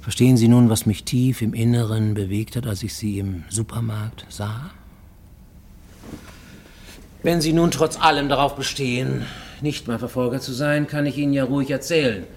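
An actor in a German radio play voicing a haunted middle-aged Japanese fugitive, formal and intense, sometimes dropping to a near whisper. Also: male voice, mid-range voice, gravelly, darkish tone, a little hiss or hum. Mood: brooding, paranoid.

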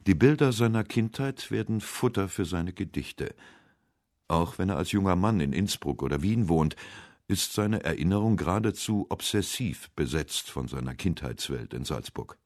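Male narrator for scripted radio essay, very low register, dry sound. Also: lively intonation, normal speed, a little mellow, no room sound.

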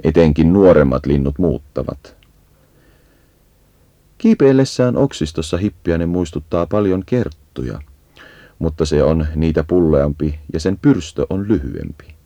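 A man giving a measured, instructive scripted narration for an educational nature guide. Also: rumbling low, expressive, warm tone, no room sound.

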